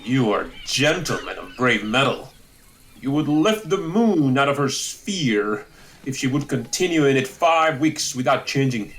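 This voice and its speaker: Man playing a slow, swooping kindly old counsellor. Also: medium pitch, big pitch swings, fairly bright tone. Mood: wryly offended. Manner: declamatory, deliberate theatrical delivery. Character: kindly, talkative old counsellor, idealistic and dignified. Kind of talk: live audio drama Shakespeare acting.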